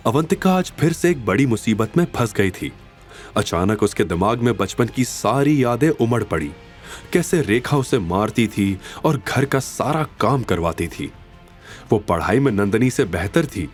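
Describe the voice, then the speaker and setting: A man narrating a scripted story in a dramatic, expressive and suspenseful manner. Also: fairly low pitch.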